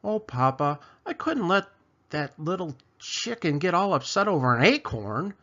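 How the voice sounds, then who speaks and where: A man voicing an eager, excitable little girl chicken from a storybook, who bursts out with urgent warnings. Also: on the high side, a little slow, muffled recording, voice pushed up, heavily acted. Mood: pleading.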